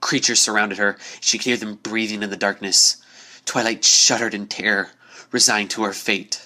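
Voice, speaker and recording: A man's voice: fairly low pitch, steady tone, normal speed, pushed and bright. A man reading a scripted story aloud as narrator, in a dramatic storytelling style.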